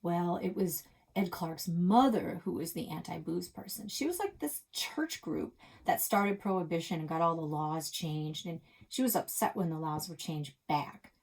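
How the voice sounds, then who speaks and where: A woman's voice: on the low side, normal speed, hardly any pauses, a little mellow, husky. A woman voicing a casual, chatty local boy from a children's book, offhand and knowing. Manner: offhand, slangy, hesitant fillers.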